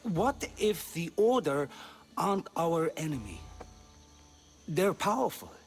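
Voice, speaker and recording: An actor playing a conflicted Persian warrior and comrade in a dramatic video game, earnest and persuasive. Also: male voice, a little high, sing-song, slow, soft background music, exaggerated voice. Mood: earnest persuasion. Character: reasoning Persian male warrior, conflicted comrade turned traitor.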